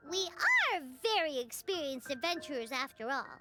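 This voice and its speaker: A woman voicing a tiny, chirpy, childlike fairy companion in a video game, squeaky, excitable and nosy, exclaiming and speaking of herself by name. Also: moderate pace, loud music behind the voice. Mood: chirpy, curious.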